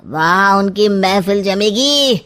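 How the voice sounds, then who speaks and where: A crafty middle-aged uncle in a cartoon family story, voiced by a woman. He drawls slowly, full of sly teasing and plots. His voice sits at an everyday middle height. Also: medium pitch, lively intonation, fairly bright tone. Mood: scheming.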